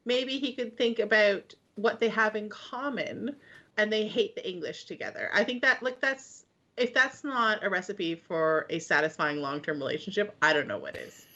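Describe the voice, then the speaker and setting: Lively joking woman co-host, swinging intonation, muffled lo-fi audio. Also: mid-range voice, normal speed. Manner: animated, jokey, swears lightly, stretches words for effect. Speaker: podcast co-host. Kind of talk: casual chat. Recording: slightly dull lo-fi sound.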